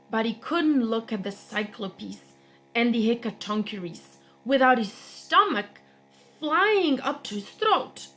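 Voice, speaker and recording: A young woman reading a myth aloud for a children's storytime, slowly and expressively. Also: low-quality recording. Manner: slow, expressive read-aloud with lively pitch. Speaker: guest reader.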